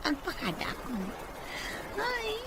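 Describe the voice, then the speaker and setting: A woman acting an unhurried, expressive female character in a radio drama, with an Ilocano accent. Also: very high-pitched, heavily acted. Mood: musing. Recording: clearly noisy ambience behind.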